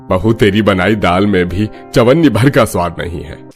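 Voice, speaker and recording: A man's voice: fairly low pitch, normal speed, soft background music, pitched below the performer's own voice, natural voice. A man's voice playing a critical, disapproving male in-law in a cartoon story. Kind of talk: Hindi cartoon story acting.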